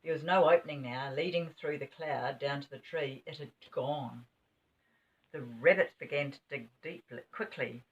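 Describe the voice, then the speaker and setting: A woman's voice: deep voice, normal speed. An older woman reading a children's story expressively, as a grandmother would. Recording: dull, distant home recording.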